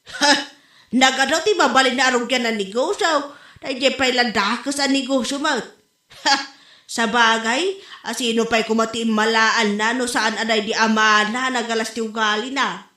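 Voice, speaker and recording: A woman's voice: a little high, steady tone, moderate pace, bright, forceful tone. A woman with an Ilocano accent playing a brash, judgmental, exclamatory gossip in a comedy drama. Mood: gossipy, shocked. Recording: roomy, echoing room sound.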